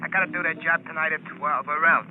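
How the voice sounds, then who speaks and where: An actor playing a cornered, fearful American working man, rough and slangy, through a telephone filter. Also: a little high, fairly even intonation, fast talker. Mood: desperate, resigned. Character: cornered, fearful working-class reformed male safecracker. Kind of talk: 1940s radio acting. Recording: tinny phone line, noisy old radio.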